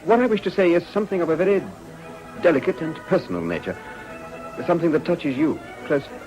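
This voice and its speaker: An actor playing a suave upper-class English political gentleman, speaking formally and with insinuation in a period drama. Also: fairly high pitch, big pitch swings, fast, faint music behind, rough recording. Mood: grave, confiding. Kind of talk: British period drama acting.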